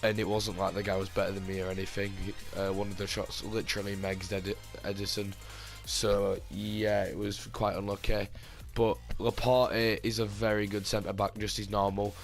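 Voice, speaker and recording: Guy w a bit of a hoarse voice rambling thru his gaming commentary, flat monotone, quiet music behind. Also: lowish voice, normal speed, hardly any pauses. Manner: rambling, with many fillers and restarts. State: slightly hoarse from a lost voice. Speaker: gaming channel host. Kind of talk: casual gameplay commentary.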